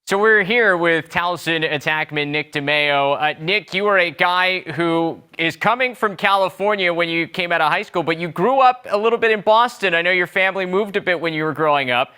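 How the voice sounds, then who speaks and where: A male sports show host with an upbeat, conversational delivery. His voice sits a bit high, bright and crisp, and moves easily between questions and segment wrap-ups. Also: brisk, hardly any pauses. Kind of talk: sports talk show hosting.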